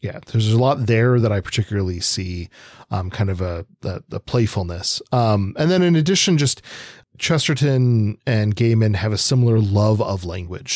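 Halting, lowish-voiced man co-hosting a podcast. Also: darkish tone, dry recording, clean studio sound. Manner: halting, searching for words, hedging. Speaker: podcast co-host. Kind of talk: literary discussion, conversational.

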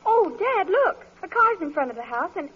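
High, lively teenage daughter. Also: female voice, normal speed. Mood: earnest. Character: earnest teenage schoolgirl daughter. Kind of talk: 1940s radio comedy acting. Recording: dull vintage radio recording.

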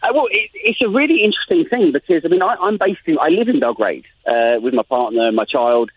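A man's voice: a little high, quick pace, a little hiss or hum. An English-accented man, a guest journalist talking animatedly in an interview, often restarting his sentences. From England. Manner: animated, frequent restarts and repetitions. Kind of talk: interview over phone line. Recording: tinny phone line.

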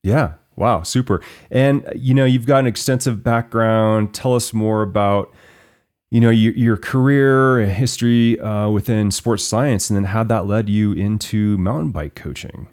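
A man's voice: on the low side, unhurried, darkish tone. A man hosting an interview podcast, introducing his guest and asking questions in an upbeat, encouraging way. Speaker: podcast host.

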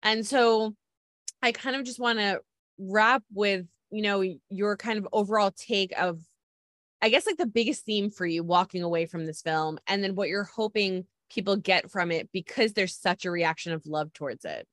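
A woman's voice: mid-range voice, normal speed, a bit bright, no room sound, studio quality. A woman interviewer chatting casually in an informal, effusive way.

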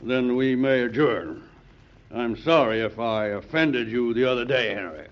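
An actor playing a proud, mannerly gentleman duelist in an old-time radio drama, formal and deliberate. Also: medium pitch, lightly acted. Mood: conciliatory. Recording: dull old radio recording, some noise.

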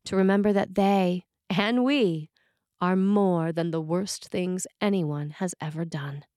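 A woman priest reads a sermon with measured, earnest delivery. Her voice sits at an ordinary pitch. The recording is close and dry, with no room around it. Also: a little mellow, no room sound. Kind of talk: read sermon.

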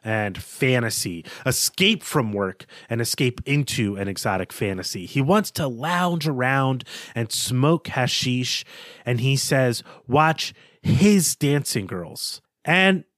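A man hosting a podcast, talking analytically and deliberately about literature. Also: medium pitch, animated, fairly bright tone, no echo, studio quality. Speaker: podcast host. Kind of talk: literary discussion.

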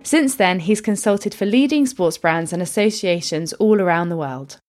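A woman's voice: lowish voice, animated, moderate pace, a little mellow, soft background music. A woman hosting a podcast, introducing her guest and asking friendly, conversational questions. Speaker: podcast host.

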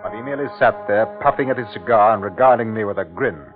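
An actor playing an earnest, alarmed district commissioner in 1950s radio drama, speaking gravely and urgently. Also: male voice, fairly low pitch, quick pace, natural voice. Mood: wondering. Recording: tinny 1950s radio recording with music.

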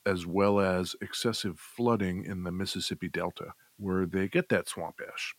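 A man's voice: deep voice, steady tone, moderate pace, no room sound. A man co-hosting a casual gear chat, explaining things informally with some light joking. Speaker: podcast co-host reviewing guitar gear.

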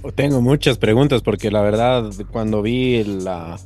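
Excitable male podcast co-host. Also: fairly low pitch, moderate pace, soft background music. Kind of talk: casual, rambling chat.

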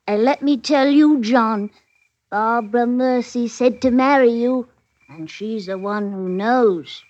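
An actress playing a bold, resentful young servant wench in old-time radio drama, with rustic, archaic phrasing. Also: female voice, fairly high pitch, takes it slowly, a little mellow. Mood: bold, taunting. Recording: muffled vintage radio recording.

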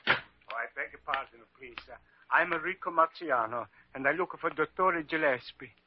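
Italian immigrant violinist: humble, devoted, halting broken English, Italian accent. Old radio drama, muffled recording. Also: male voice, on the high side, slightly rough. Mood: humble, eager. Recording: dull vintage radio recording.

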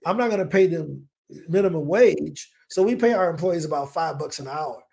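A man in his forties from the United States, a business owner telling stories on a podcast in an energetic, casual way, acting out his own past thoughts. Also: on the high side, normal speed, gravelly. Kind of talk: casual interview talk. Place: home in Colombia.

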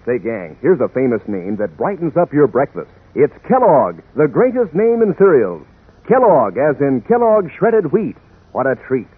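A man's voice: medium pitch, sing-song, normal speed, slight background noise. A man announcing radio advertisements in a chummy, enthusiastic 1940s style aimed at children. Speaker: announcer, sponsor pitchman. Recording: tinny 1940s radio recording.

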